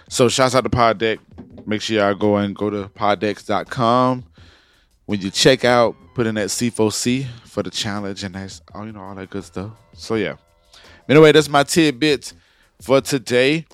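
A man's voice: on the low side, no echo. A man in his forties hosting a podcast, talking in a loose, rambling casual chat full of slang and restarts. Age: 40s. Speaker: podcast host.

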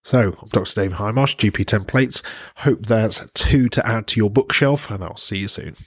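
A man's voice: lowish voice, normal speed, breathy edge, no room sound. A man giving an informal, explanatory personal book recommendation as a podcast guest. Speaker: podcast guest, GP partner reviewing books.

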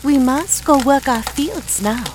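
A happy little farmer out of a storybook, voiced by a woman narrator. The voice is raised in pitch, kindly and cheerfully welcoming. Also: measured pace, a little mellow, a little hiss or hum. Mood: kindly, cautioning. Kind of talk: storybook character voice.

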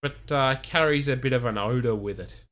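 A man recaps a TV episode in relaxed, jokey chat, full of fillers. His voice sits at an ordinary middle pitch. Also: moderate pace. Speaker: podcast host.

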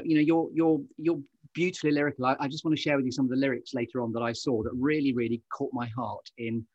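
Effusive male podcast host, slightly husky. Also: medium pitch, darkish tone, no echo, muffled recording. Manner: effusive, wordy, meandering asides. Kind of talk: chatty, effusive podcast interview.

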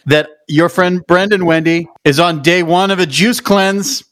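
A man hosting a podcast in casual chat. He talks in a loud, profane and playful way, with sudden high whoops. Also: fairly even intonation, a little slow. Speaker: podcast host.

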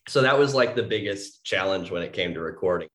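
A man's voice: deep voice, lively intonation, fairly quick, fairly bright tone. A young man, a composer, chatting casually in an interview from his home office on a home mic setup, talking in a loose, rambling way full of "like" and "you know". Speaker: composer guest. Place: home office between two windows. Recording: echoey home room.

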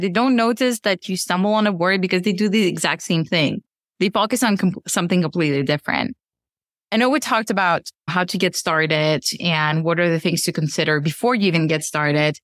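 Slightly low-voiced woman podcast host, dry sound. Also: no room sound. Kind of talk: casual interview conversation.

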